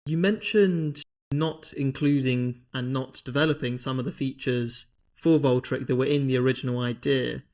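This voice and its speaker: A man's voice: medium pitch, steady tone. Male podcast host asking questions. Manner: measured, long framed questions. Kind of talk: interview questions.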